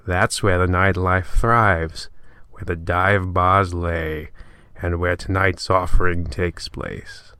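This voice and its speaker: A man's voice: low voice, pushed and bright, dry recording, clean studio sound, heavily acted. A man playing a creepy, sly narrator of an old-time radio horror show, with theatrical delivery and morbid humor. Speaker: host.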